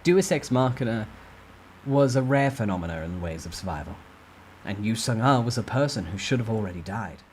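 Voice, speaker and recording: A man with a low voice narrates a fantasy tale in the first person. He reads dramatically and with suspense, like a storyteller at work. There is some background noise on the recording. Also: animated, fairly quick.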